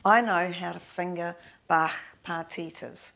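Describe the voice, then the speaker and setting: Gravelly older New woman. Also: on the low side, fairly even intonation, a little slow, sharp tone. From New Zealand. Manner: reflective, with many restarts and fillers. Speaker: guest musician. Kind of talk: interview conversation. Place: indoor studio with framed awards.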